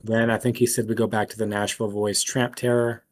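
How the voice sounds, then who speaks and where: A man's voice: on the low side, flat delivery, fairly quick. A man chatting casually at the table as a player in a role-playing game.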